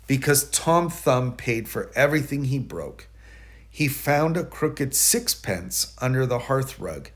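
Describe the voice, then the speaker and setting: A man with a medium-pitched voice reads a children's storybook aloud. His narration is expressive, with dramatic emphasis on key words. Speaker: storybook reader.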